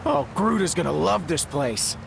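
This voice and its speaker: An actor playing a flippant, wisecracking rogue in a video game, with a brash manner. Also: male voice, high voice, very animated, normal speed, vocal fry, a little hiss or hum, heavily acted, poor audio. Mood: amused, impressed. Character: wisecracking, light-fingered male space rogue. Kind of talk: video game character lines.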